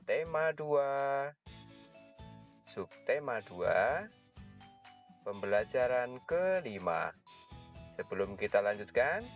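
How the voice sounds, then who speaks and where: Slow patient male teacher. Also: mid-range voice. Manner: slow, patient, talks directly to children. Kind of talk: online class lesson.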